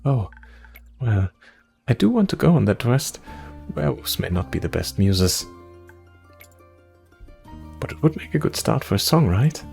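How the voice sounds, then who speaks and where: A man's voice: medium pitch, sing-song, a little slow, creaky, long pauses, a little mellow. A man voicing an anxious, self-doubting young adventurer from the forest, stammering and rambling in a visual novel. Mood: nervous, confiding. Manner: nervous, hesitant stammering and rambling. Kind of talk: visual novel character read-aloud. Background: music.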